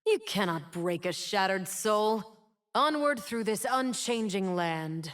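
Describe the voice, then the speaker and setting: A woman's voice: mid-range voice, animated, a bit bright. An actress voicing a proud, wounded, immortal winged woman in a video game, speaking with solemn, dramatic defiance. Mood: wounded, defiant. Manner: slow, solemn, dramatic delivery. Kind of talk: scripted game character voice lines.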